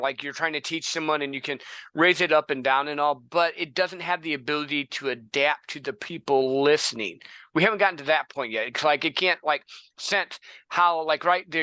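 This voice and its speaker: A male podcast host chats casually and thinks out loud, with plenty of "like"s. His voice is bright and a touch high. The sound is dry and close, with little room. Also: smooth, dry recording. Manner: casual, thinks aloud with many "like"s. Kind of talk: conversational interview.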